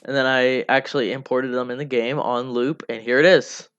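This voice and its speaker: A man giving casual, unscripted devlog commentary in a rambling, halting way, often repeating himself. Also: mid-range voice, steady tone, fairly bright tone. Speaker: hobby game developer narrating a devlog. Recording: dull lo-fi mic under music. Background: music.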